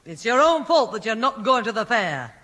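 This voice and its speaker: A woman playing a firm, middle-aged mother who scolds briskly in a radio sitcom. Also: a little high, very animated, heavy background noise. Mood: scolding. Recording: reverberant old radio studio recording.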